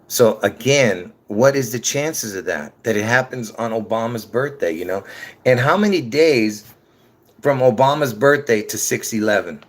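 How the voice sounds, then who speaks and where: A man's voice: mid-range voice, animated, normal speed. Rambling man talking to his viewers. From Lebanon. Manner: rambling, restarts often, addresses viewers directly. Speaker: conspiracy video creator. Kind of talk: rambling casual monologue to camera.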